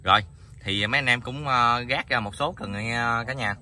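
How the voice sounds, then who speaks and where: A man's voice: medium pitch, moderate pace. A man with a southern Vietnamese Mekong Delta accent, a fishing vlogger chatting casually and easily as he narrates from a wooden boat on a river. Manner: chatty, easygoing, excited bursts. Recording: outdoor river noise.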